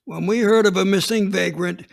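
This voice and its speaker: A man's voice: high-pitched, steady tone, normal speed, creaky. A man reading a dramatized first-person story as a police chief, plainspoken and matter-of-fact.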